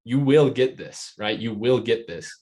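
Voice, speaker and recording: A man's voice: mid-range voice, expressive, a little slow, warm tone. A man hosting a casual interview podcast, rambling and enthusiastic, peppering his sentences with "like". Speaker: podcast host.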